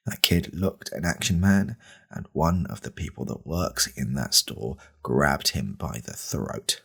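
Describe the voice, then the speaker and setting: A man playing a creepy, self-serious right-wing podcast host in a comedy parody, deadpan and halting, with a gravelly, husky voice. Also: very deep voice, exaggerated voice. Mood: grave outrage.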